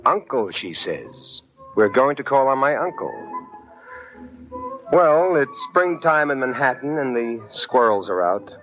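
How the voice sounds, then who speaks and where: Wry private eye, animated old-radio delivery. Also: male voice, medium pitch, unhurried, over music. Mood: wry, resigned. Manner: wry, confiding second-person storytelling. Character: wry, flirtatious hard-boiled male private detective. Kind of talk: 1950s radio detective drama narration. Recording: muffled 1950s radio broadcast recording.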